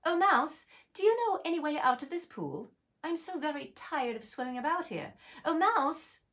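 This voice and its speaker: A woman's voice: sits high, sing-song, normal speed, fairly bright tone, pitched above the performer's own voice. A woman voicing a polite, curious young girl who chatters earnestly. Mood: eager.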